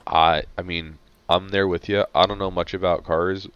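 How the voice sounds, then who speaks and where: A man with a very deep voice talks in a laid-back, dry way on a casual podcast, often pausing mid-thought. The sound is dull and lo-fi. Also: level tone, fairly bright tone, clean tone. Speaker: podcast co-host. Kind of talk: casual chat.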